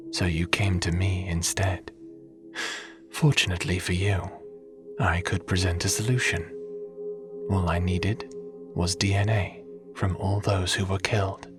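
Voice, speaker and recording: A man playing a calm, matter-of-fact advisor in a fantasy audio drama, speaking formally and without much emotion. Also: low-pitched, over music. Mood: explanatory. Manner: measured, formal, unemotional.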